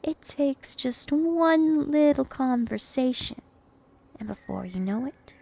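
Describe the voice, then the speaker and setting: Slow, seductive vampire princess, acted by a woman. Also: high voice, expressive, vocal fry, a little mellow, exaggerated voice. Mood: smug, teasing. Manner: slow, deliberate, teasing and possessive. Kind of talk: ASMR roleplay.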